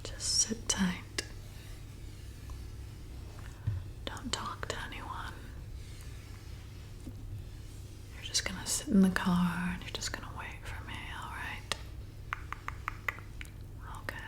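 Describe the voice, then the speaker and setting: A woman's voice: fairly low pitch, steady tone, lightly acted. A woman playing a flirty, hypnotic vampire bartender in an ASMR roleplay, soothing and coaxing as she repeats herself. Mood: pleased, commanding. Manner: soothing, coaxing, slow and repetitive.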